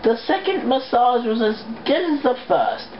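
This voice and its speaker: A woman reading fan fiction aloud as an amateur, in a casual and halting way. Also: on the high side, expressive. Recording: dull lo-fi home recording, background noise.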